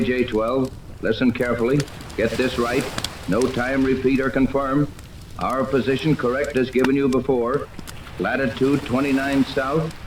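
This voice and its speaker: Clear noise from an old radio recording surrounds a man acting a resolute captive captain. His mid-pitched voice is purposeful and terse, pressing on urgently in classic 1930s serial style. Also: lightly acted. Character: resolute captive male radio-operating captain plotting escape.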